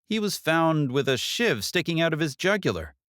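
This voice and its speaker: Audiobook police detective, female played by a man: raised pitch, lively inflection, measured and concerned. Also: no room sound, clean studio sound. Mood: grave. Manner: measured, earnest, official questioning.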